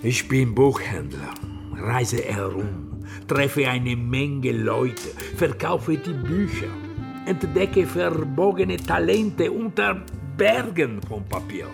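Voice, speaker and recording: Flamboyant Italian bookseller, swinging pitch. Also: male voice, sing-song, slow pace, vocal fry, full character voice. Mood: grandiose. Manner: theatrical, emphatic, peppered with Italian words. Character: flamboyant, shrewd Italian bookseller, a persuasive travelling trader. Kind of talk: radio drama acting. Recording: studio radio drama with loud music behind.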